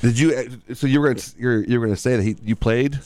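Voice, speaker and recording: A man's voice: medium pitch, fast talker, darkish tone, dry recording. A man with an American accent hosting a casual radio interview, chatting informally into a studio microphone. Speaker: radio show host.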